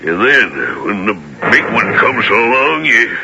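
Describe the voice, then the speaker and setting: An actor playing a proud, weary frontier fighter who is gravely ill and weak, in a radio drama. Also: male voice, medium pitch, animated, a little slow. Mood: wistful. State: weak, ailing. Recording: very noisy, crackly late-1970s radio recording.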